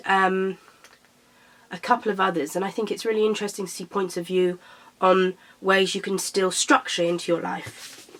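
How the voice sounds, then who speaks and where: Young woman, slightly croaky, chatty, self-correcting, casual vlog talk. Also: fairly low pitch, fairly bright tone, rough recording. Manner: chatty, self-correcting, stumbles over titles. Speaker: book vlogger. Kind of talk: casual chat to camera.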